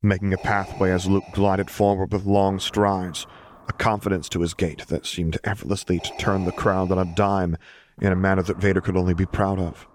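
Low, even-toned male audiobook narrator. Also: normal speed, slight background noise. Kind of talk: scripted fiction narration.

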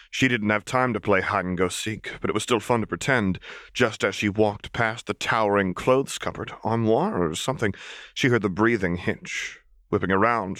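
A man reading a story as an audiobook narrator, expressive and wry in his storytelling. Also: on the low side, no room sound, clean studio sound. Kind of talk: expressive scripted fiction narration.

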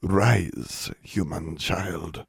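A man voicing a cold, solemn old elf leader in a storybook, with a low, dry rasp and slow, formal delivery. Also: no room sound, heavily acted. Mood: solemn, commanding. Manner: slow, weighty, formal pronouncements.

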